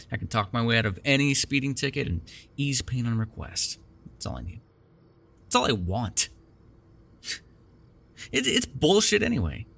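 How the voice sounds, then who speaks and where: A man's voice: fairly low pitch, vocal fry, fairly bright tone, heavily acted. A man playing a chatty, defensive vampire captor in an intimate ASMR roleplay, rambling casually with plenty of swearing. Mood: defensive, proud. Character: chatty, defensive, self-deprecating male vampire captor with a soft spot.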